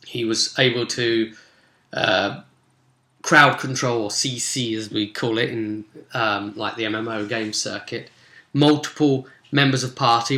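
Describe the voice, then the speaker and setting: A man speaking casually as a game master, recapping a tabletop role-play session with animated, dramatic emphasis. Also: mid-range voice. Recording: roomy, echoey sound.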